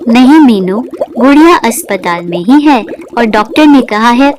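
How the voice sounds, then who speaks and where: A woman's voice: on the high side, animated, a little slow, a crowd in the background. A woman playing a caring, humble mermaid mother in a cartoon story. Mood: worried. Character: caring mermaid mother who sells biryani, gentle, humble. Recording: clear background noise.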